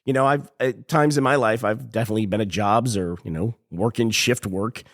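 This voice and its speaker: Hoarse low-voiced man co-hosting a podcast. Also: brisk, dry recording, studio quality. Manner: rambling, chuckling, self-deprecating. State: hoarse from a cold. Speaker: podcast co-host. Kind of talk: casual chat.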